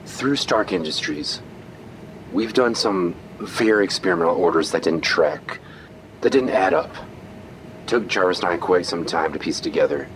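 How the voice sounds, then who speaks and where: A man voices a sardonic billionaire leader with a fairly low voice who gives orders confidently. A clear noise bed runs behind him. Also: huge pitch swings, unhurried, full character voice. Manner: sardonic, commanding. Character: cocky male billionaire hero leader.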